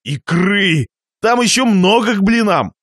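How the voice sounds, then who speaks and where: A man performs a shameless, jovial glutton from a satirical storybook. The delivery is pompous and expansive, with very animated pitch. Words are savoured and handed out like little lectures. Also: a little slow, dry recording, studio quality, full character voice. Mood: contented, greedy. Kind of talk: dramatised reading of a satirical short story.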